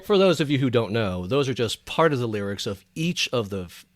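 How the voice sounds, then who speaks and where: A man's voice: mid-range voice, lively intonation, brisk, dry recording. A man speaking as a podcast panelist in casual, enthusiastic pop culture chat.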